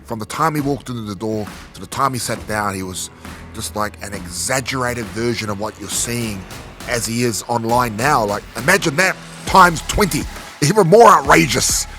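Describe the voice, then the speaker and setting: A man's voice: medium pitch, moderate pace, bright, forceful tone, faint music behind. A middle-aged man, hosting a casual interview with easy laughter and many fillers. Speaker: podcast host.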